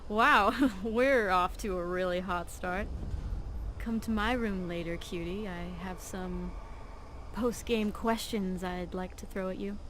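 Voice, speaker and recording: A woman's voice: medium pitch, slow pace, fairly bright tone. Woman acting a teasing flirt over background noise. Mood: flirty, playful. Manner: teasing, coy. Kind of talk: video game voice acting.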